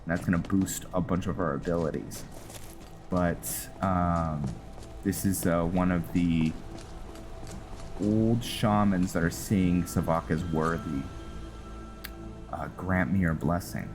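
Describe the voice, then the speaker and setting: Male game host, deep and unhurried, halting, loud game music underneath. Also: steady tone. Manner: halting, many fillers and restarts. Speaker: roleplay gaming host. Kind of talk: casual gameplay commentary.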